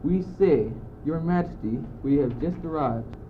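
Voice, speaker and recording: Casting: teenage boy as muddled, pedantic male courtier for school radio drama, highish voice, declamatory delivery, phone-like old recording. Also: a little slow, natural voice. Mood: insistent. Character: argumentative, muddled but bossy male courtier, reasoning pedantically. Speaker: student actor. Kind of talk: amateur school radio play. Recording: muffled, tinny old amateur recording, noisy.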